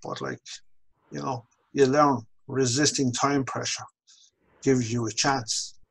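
An Irish man in his sixties speaks over a webcam from his home office. His voice is gravelly and somewhat husky, at a middling pitch. He talks in a measured, reflective way and slows down on the words that matter most. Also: steady tone, fairly bright tone. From Ireland. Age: 60s. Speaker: stuttering course instructor. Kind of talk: interview guest telling his story. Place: home office with bookshelves, indoors. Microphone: video call webcam.

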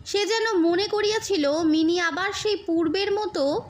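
A woman narrating literary fiction as a scripted audiobook, in a measured, expressive storytelling manner. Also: very high-pitched.

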